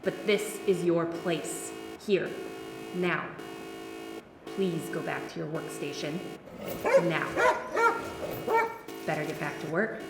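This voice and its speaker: An echoey, low-pitched female guard speaks very slowly over prominent music. She is cold, bureaucratic and condescending, fit for a dystopian radio play. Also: creaky, heavily acted. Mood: cold, commanding. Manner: slow, deliberate, condescending. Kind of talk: science fiction audio drama acting. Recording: echoey room with music behind.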